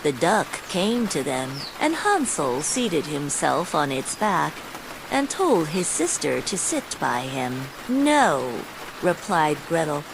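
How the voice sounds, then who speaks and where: Give this a calm woman narrator with a slightly low voice, reading a fairytale for bedtime. She takes her time and speaks at a slow, soothing pace. Steady rain is clearly heard behind her. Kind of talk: scripted fairytale audiobook narration. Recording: steady rain noise over the voice. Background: rain sounds.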